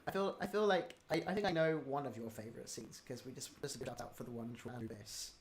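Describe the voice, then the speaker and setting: A man's voice: mid-range voice, quick pace. A man hosting a casual livestream Q&A with the cast, talking in a hesitant way with lots of ums and restarts, heaping praise on the cast. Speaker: livestream host running a cast Q&A.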